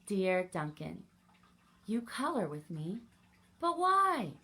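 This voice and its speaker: Woman acting out sad storybook crayon, wistful, hesitant, high swooping pitch, slow. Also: very animated, pushed and bright, breathy edge, heavily acted. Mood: forlorn, wounded. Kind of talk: storybook read-aloud for children.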